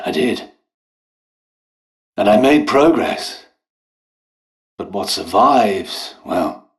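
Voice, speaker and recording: An actor playing a polite, dutiful, harried English doctor and scientist in a video game, with a British accent and a hesitant, genteel manner. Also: male voice, deep voice. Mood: mournful, regretful. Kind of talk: scripted game character dialogue.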